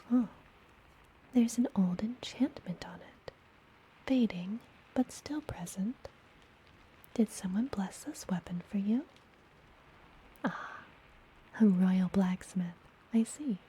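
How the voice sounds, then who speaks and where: A woman playing a friendly, gentle witch healer in an ASMR fantasy roleplay, whispering softly and soothingly, as if up close. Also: mid-range voice, close to an everyday voice, rough recording. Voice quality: soft, breathy whisper. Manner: slow, soothing, intimate personal attention. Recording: close whisper with background noise.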